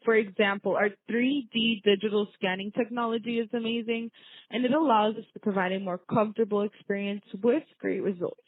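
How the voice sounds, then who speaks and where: A woman's voice: mid-range voice, fairly even intonation, brisk, dry recording. A woman working as a receptionist, speaking in a welcoming, positive customer service manner over the phone. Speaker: orthodontic office receptionist. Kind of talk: customer service phone call, semi-scripted. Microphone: telephone. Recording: narrow, tinny phone line, dry.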